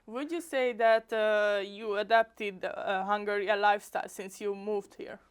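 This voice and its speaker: Young woman with a smooth clear voice. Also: medium pitch, fairly even intonation, unhurried. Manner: chatty, hesitant, with small laughs. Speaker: student interviewer. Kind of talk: casual interview.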